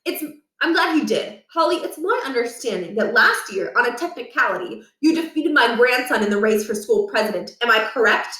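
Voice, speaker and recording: A woman's voice: a little high, lively intonation, a little fast, pushed and bright. A woman voicing a pompous, power-hungry older male school principal in a children's story, lecturing with condescension and menace. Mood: smug. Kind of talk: children's book read aloud. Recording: echoey room, distant from mic.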